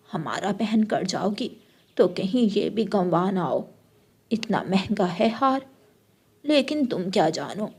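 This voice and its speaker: A woman's voice: medium pitch, gravelly, darkish tone, breathy edge, heavily acted. A woman voicing a greedy, cruel old mother-in-law bird in a children's cartoon, taunting and bossing with scorn. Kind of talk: Hindi children's cartoon story voice acting.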